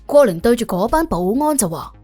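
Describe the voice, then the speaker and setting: 抑揚豐富嘅粵語女聲旁白. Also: female voice, fairly high pitch, big pitch swings, a little fast, dark tone, faint music behind. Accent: Cantonese. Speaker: audiobook narrator. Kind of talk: expressive scripted detective-story narration.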